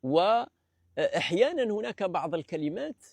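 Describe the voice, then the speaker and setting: Man from Algeria, gravelly and a little high, lecturing in a hesitant, measured way; dry close recording. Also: big pitch swings, no echo. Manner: hesitant, many fillers and restarts; measured, explanatory. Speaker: presenter of a language talk series. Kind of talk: unscripted lecture.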